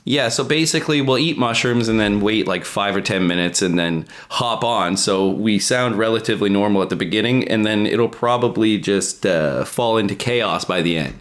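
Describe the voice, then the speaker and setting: A man's voice: on the low side, moderate pace, few pauses, a bit bright. A man co-hosting a podcast in casual, sweary conversation. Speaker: podcast co-host. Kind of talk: casual chat.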